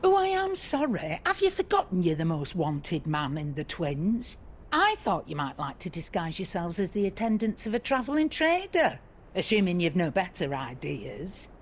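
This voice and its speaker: A brusque old trader woman from a video game. She is blunt, salty and teasing, with a very lively, swinging delivery. There is faint noise behind her. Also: lowish voice, moderate pace. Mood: brisk, teasing. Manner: blunt, scolding, colloquial. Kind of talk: video game cutscene acting.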